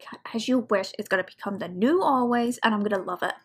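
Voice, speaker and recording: A woman's voice: mid-range voice, fast talker, slightly rough. A woman hosting a reaction video with casual, chatty asides to herself.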